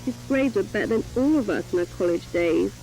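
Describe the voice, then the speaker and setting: A woman playing a fond, grieving mother reminiscing in a soft voice, as in an acted testimonial for a horror series. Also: mid-range voice, lively intonation, fairly quick, faint music behind, natural voice, rough recording. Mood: fond, nostalgic. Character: fond, grieving mother recalling a kind late friend.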